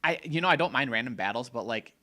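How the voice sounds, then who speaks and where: A man co-hosting a casual gaming podcast, opinionated and rambling, a little hoarse, often restarting his sentences. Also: mid-range voice, expressive, quick pace, bright, forceful tone. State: slightly hoarse. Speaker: podcast co-host.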